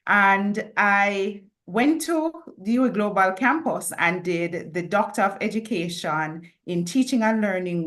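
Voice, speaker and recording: A woman from Jamaica, a risk and compliance analyst, introducing herself on a panel with friendly, joking asides. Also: medium pitch.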